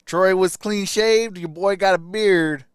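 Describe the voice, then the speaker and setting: A man's voice: sits high, slow pace, clean tone, no room sound, studio quality. A man hosting a live stream, giving casual, colloquial sports commentary like a die-hard fan.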